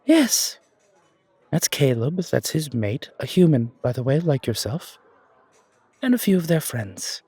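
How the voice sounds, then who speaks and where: A man playing an elegant, wry fantasy coffee shop owner in an audio roleplay, with deliberate, formal, theatrical phrasing. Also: on the high side, very animated, dark tone, slightly rough, no room sound, full character voice. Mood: amused, proud.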